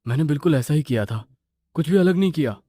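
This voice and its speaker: A man voicing an anxious young boyfriend in an animated horror story, with an urgent, flustered delivery. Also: on the high side, quick pace, no echo, studio quality, voice pushed up. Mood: defensive.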